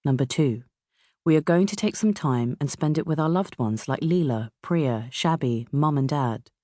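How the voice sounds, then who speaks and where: A middle-aged woman from England with a southern English accent reads a memoir as its author, in a measured, expressive way. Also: sits low, no echo, clean studio sound. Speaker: author narrating own audiobook. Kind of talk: scripted memoir narration. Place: dry recording studio.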